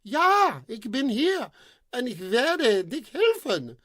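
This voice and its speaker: A man voicing a fat, dim-witted prince who shouts grand declarations in a mock German accent. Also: sits high, big pitch swings, moderate pace, no room sound, clean studio sound. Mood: shouting.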